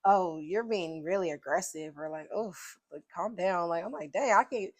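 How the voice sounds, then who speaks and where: A woman hosting a podcast conversation, animated and affirming, quick to laugh along. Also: lowish voice, no echo.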